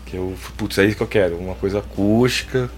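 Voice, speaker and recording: A man's voice: on the low side, steady tone, fast talker, faint music behind. A man with a Brazilian Portuguese accent, a musician being interviewed, talking loosely and tagging his sentences with fillers. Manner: rambling, full of filler tags. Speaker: guest musician. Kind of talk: casual interview chat.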